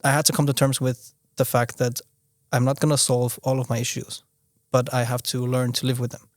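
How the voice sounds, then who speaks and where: A young man who grew up in Austria chats as a guest on a podcast, his speech halting and full of restarts. His voice sits at a middle pitch for a man and is recorded close and dry, with no room sound. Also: level tone, no echo. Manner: halting, restarts often, leans on "you know". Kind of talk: casual conversational interview.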